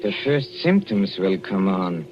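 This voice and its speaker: Sinister gloating husband, old radio drama, mid-pitched, dull lo-fi sound, faint hiss. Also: male voice, very animated, measured pace. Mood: menacing. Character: menacing husband poisoning his wife. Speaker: villain in a radio play. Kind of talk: 1940s radio acting.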